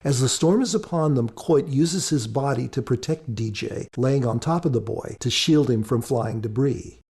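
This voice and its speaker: A man's voice: mid-range voice, normal speed, vocal fry, a little mellow, breathy edge. A man giving scripted podcast commentary as author and co-host, in an earnest, preacherly, explanatory manner.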